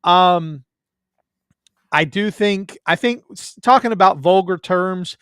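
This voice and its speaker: A man's voice: high-pitched, slow, fairly bright tone, clear, resonant tone, no echo, clean studio sound. A man with a Southern US accent, a guest in a casual chat, telling stories in a joking, self-deprecating way.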